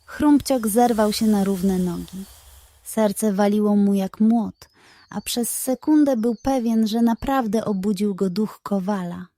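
A woman narrating a scripted children's bedtime story in a measured, expressive manner. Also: medium pitch, no echo.

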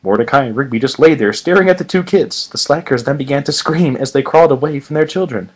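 A man's voice: mid-range voice, fast talker, slightly rough. A man doing a comedic reading of fan fiction in a mock-serious manner with sarcastic asides.

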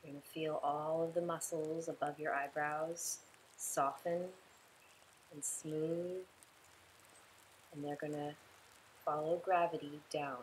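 A woman leading a guided relaxation meditation in a soothing, unhurried way, giving instructions in short, spaced phrases. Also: deep voice, flat delivery, slight background noise, rough recording.

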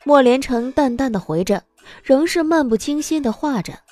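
A woman's voice: high-pitched, animated, moderate pace, no room sound. A woman narrator reading a story in a dramatic audiobook style. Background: soft background music.

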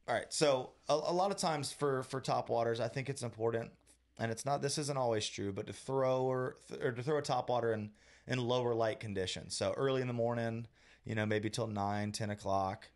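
A man's voice: medium pitch, moderate pace. A man in his thirties, co-hosting a podcast, explaining step by step with frequent restarts, recorded on a studio mic in a small room. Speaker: co-host, fishing guide. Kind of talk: casual podcast chat. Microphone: studio mic on boom arm.

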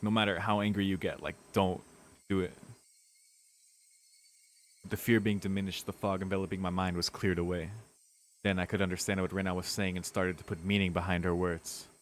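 A man streaming a visual novel, reading the narration dramatically and breaking into casual, sweary reactions. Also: low-pitched.

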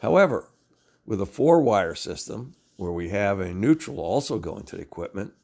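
Deep, gravelly older American man. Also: very animated, darkish tone. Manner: halting, methodical explaining with frequent pauses. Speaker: DIY home-improvement presenter. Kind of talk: instructional how-to narration.

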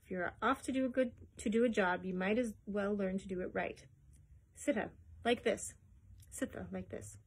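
A woman reading aloud, voicing a rough, ponderous Yorkshire father who is gruff but warm. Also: medium pitch, moderate pace.

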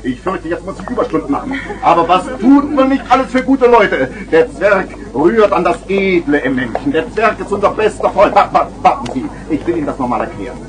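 A man's voice: high voice, expressive, brisk, hardly any pauses, noisy background. A man playing a boisterous street hawker with theatrical, wheedling patter in a vintage children's radio play. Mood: jovial hawking. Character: boisterous male street hawker selling garden gnomes. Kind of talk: 1970s German children's radio play acting.

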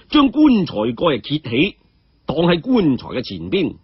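A man with a Hong Kong Cantonese accent telling a martial arts story in a lively, colloquial oral style. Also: medium pitch, breathy edge, no room sound, highs cut off.

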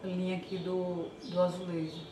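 A woman's voice: on the low side, completely flat delivery, normal speed. An older woman from Brazil casually narrating a home how-to, chatty and thinking aloud while she works in her kitchen. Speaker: home vlogger. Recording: echoey kitchen, faint background hiss.

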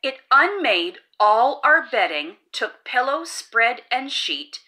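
A woman's voice: high voice, sing-song, takes it slowly, bright, forceful tone. A woman hosting a children's read-aloud, reading a rhyming picture book in a playful, sing-song way. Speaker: storybook read-aloud host.

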